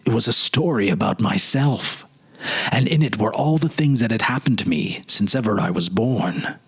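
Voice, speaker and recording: A man playing a haunted, wistful man recalling his lost childhood, halting and earnest in a dramatic literary reading. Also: fairly low pitch, animated, gravelly, slightly rough, exaggerated voice. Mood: wistful wonder.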